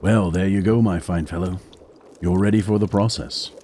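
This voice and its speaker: An actor playing an educated young medical student and wary accomplice in an audio drama, speaking in a formal, measured way. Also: male voice, low voice, fairly even intonation, background noise, close to an everyday voice. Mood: resigned. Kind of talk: audio drama acting and first-person narration.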